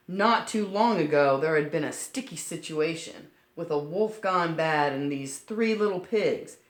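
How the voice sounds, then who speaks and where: A woman reading a picture book aloud to children, breaking off to explain things simply. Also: low-pitched. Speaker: children's storytime reader. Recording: roomy, echoing sound.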